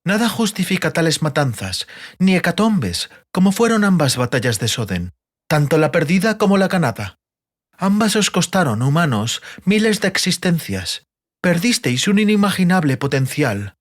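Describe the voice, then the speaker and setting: A man's voice: a little high, big pitch swings, normal speed, voice pushed up. A man voicing a proud male elf in a fantasy audiobook, cold, formal and reproving. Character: cool, proud, dignified slender male elf.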